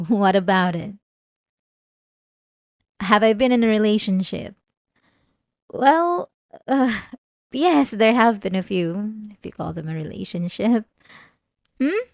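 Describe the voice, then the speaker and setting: Woman acting a halting, obsessive patient, close and dry. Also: mid-range voice, no room sound. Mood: evasive, wistful. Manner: hesitant, halting, with sighs and nervous soft laughs. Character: obsessive, manipulative female therapy patient, outwardly calm and vulnerable. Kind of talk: intimate ASMR roleplay monologue.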